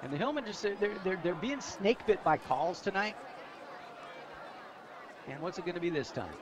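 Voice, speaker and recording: A man's voice: high-pitched, very animated, moderate pace. An American man giving radio colour commentary on a football game, folksy and conversational, musing aloud and asking rhetorical questions. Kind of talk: live American football analysis. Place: outdoors at a football stadium. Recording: stadium broadcast with crowd noise.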